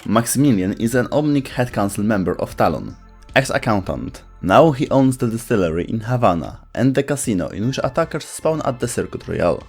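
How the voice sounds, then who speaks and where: A man's voice: lowish voice, sing-song, brisk, creaky, a little mellow, breathy edge, faint music behind. A man reading scripted explainer narration as the narrator of a video.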